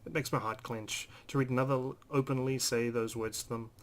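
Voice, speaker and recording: A man's voice: medium pitch, fairly even intonation, fairly quick. A man hosting a let's play, reading visual novel narration aloud with brief asides. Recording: clear background noise.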